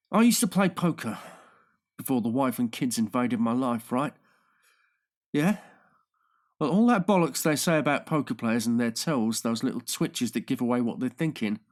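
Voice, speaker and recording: A man's voice: mid-range voice, animated, a little slow, pauses often, lightly acted. A man voicing a tired, exasperated police detective and worried friend in an audiobook, blunt and pleading. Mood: weary, pointed. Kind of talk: audiobook character dialogue.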